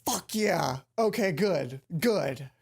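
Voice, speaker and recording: A woman's voice: lowish voice, expressive, slow pace, gravelly, darkish tone, breathy edge. A woman hosting a let's play, mixing casual, sweary commentary with reading a visual novel's narration aloud.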